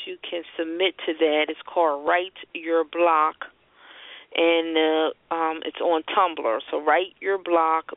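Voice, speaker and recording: A woman's voice: sits low, vocal fry, no echo. A woman hosting a conversational call-in talk show, giving advice and announcements over a phone line. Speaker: radio show host and marketing strategist. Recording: muffled, band-limited phone-line internet radio.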